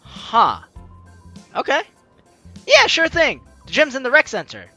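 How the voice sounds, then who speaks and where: Young guy doing a let's play, super animated and bright, chatty and playful, music behind him. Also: extremely high pitch, unhurried. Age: young adult. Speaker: let's player hosting a game series. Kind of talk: lively game commentary, reading dialogue aloud.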